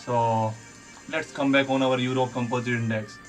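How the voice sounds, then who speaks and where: A man's voice: medium pitch, level tone, normal speed. A man on a live trading stream, talking viewers through candlestick charts and reading out chat comments. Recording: distant mic with faint music behind.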